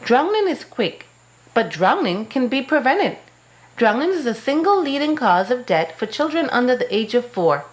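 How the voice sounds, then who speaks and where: Lively, slightly high woman ad voice. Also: moderate pace, low-quality recording. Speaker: radio ad voice. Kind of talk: public service ad read.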